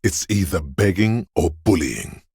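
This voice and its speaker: An actor voicing a video game character, a paranoid, aggressive inner voice of fight-or-flight instinct, speaking in an ominous, raspy, urging way. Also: male voice, sing-song, mellow, dry recording, clean studio sound, full character voice. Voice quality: very deep, gravelly, raspy. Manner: slow, deliberate, ominous urging.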